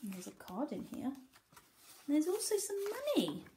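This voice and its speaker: Animated, high-voiced woman reading to children. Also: moderate pace, background noise. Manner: animated, explaining and questioning as if to small children. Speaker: teacher reading to young children. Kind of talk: expressive picture-book read-aloud with simple asides.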